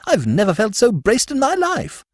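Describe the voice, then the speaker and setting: A man's voice: high-pitched, wildly swooping pitch, moderate pace, no echo, clean studio sound, voice pushed up, heavily acted. A man putting on a breezy toff. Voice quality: airy, chattering drawl. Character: breezy young upper-class Englishman, chatty and cheerful.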